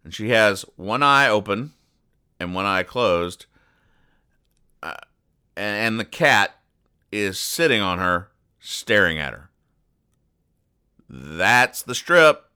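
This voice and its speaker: A man with a fairly deep, clean and forceful voice talks through comic strips as a podcast host. He is deadpan and mock-exasperated, rambling and stopping to restart as he explains. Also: takes it slowly, long pauses, smooth. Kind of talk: comic commentary reading newspaper comics aloud.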